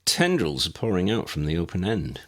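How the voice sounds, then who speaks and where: A man voicing a calm, fearless adult male wizard investigator in an audiobook, casual and reassuring. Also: low-pitched, normal speed. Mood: matter-of-fact.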